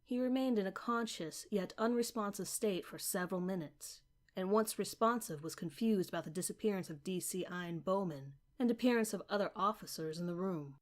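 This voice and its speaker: Female horror narrator, medium pitch, clinical and formal, reading case notes like an official record. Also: a little fast. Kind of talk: scripted horror narration, read as an official transcript.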